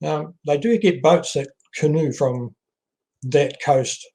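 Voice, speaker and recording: A man's voice: a little high, slow, a little mellow. A man hosting a casual video-call interview, chatting and asking short, friendly questions. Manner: chatty, asks short questions, laughs easily. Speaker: host.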